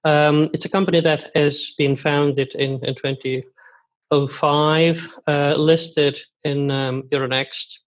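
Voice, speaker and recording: A man's voice: fairly high pitch, level tone, slow. A man introducing himself and his company on a panel, with frequent fillers and repeated words. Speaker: biotech company CEO. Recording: dull lo-fi sound.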